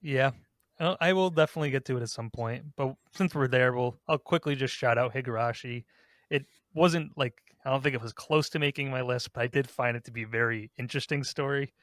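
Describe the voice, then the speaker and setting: A man's voice: medium pitch, a little fast, fairly bright tone, no room sound. Man chatting in a dry, close sound. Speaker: podcast co-host. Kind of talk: casual chat, giving opinions.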